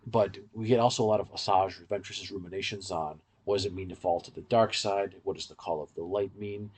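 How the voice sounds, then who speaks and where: A man's voice: sits low, fairly even intonation, a little fast, darkish tone, breathy edge. A man co-hosting a podcast, giving casual, in-depth fan commentary in a rambling, explanatory way. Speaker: podcast co-host.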